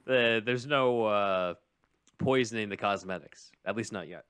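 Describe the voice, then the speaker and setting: A man's voice: lowish voice, measured pace, a bit bright, smooth. A man hosting a podcast, speaking in an informal, explanatory way. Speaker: podcast host. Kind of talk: casual discussion and ad reads.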